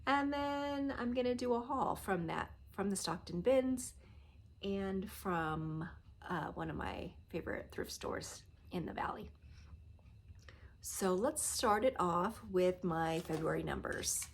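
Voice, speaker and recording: A middle-aged woman with a nasal voice from a cold, chatting casually to camera in her living room, trailing off softly at the ends of phrases. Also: medium pitch, animated, slow, a little hiss or hum. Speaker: reseller vlogger. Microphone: phone or camera close at arm's length.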